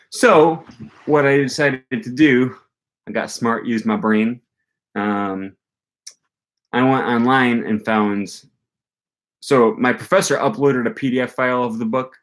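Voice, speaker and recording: A young man talking casually on a live stream from a small room, rambling with many ums and restarts. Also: on the low side. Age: young adult. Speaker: language learning streamer. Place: small room with bookshelf, indoors. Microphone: webcam at desk.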